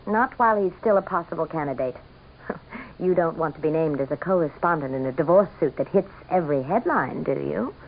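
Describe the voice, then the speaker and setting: A woman's voice: low-pitched, lively intonation, brisk, slight background noise. An American woman playing a scheming, seductive and ambitious governor's wife in a theatrical vintage radio drama. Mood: coy, seductive. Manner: theatrical, coy, calculating. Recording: muffled vintage radio broadcast, music behind.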